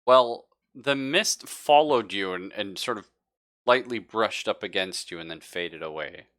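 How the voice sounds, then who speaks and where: A man with a low, bright, forward voice works as game master. He describes scenes off the cuff in informal, halting phrases, thinking aloud as he goes. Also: animated. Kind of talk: improvised narration of a tabletop roleplaying game.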